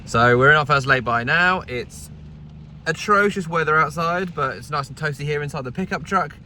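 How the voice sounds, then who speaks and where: A man's voice: fairly high pitch, moderate pace, bright, forceful tone, a little hiss or hum. A British man chatting casually and informally to camera as a vlogger, sitting inside a vehicle. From United Kingdom. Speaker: vlogger and radio hobbyist. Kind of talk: casual vlog chat to camera. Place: inside a parked pickup truck.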